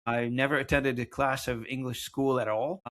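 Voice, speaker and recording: A man's voice: mid-range voice, level tone, moderate pace, fairly bright tone. Man hosting, searching for words. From Lebanon. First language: French. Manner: rambling, repeats words while searching. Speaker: podcast host. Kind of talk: casual interview chat.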